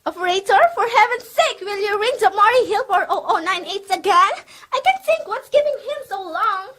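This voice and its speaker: An actress playing an anxious, demanding bedridden wife in a radio drama, querulous and agitated. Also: female voice, very high voice, lively intonation, moderate pace, a bit bright, slight background noise. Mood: impatient.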